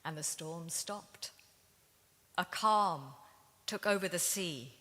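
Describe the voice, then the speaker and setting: A woman's voice: fairly low pitch, slow pace, pushed and bright. A woman telling a story as dramatic spoken word in a live staged reading. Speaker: reader in a staged ensemble performance. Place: large synagogue hall, live performance. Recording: reverberant hall.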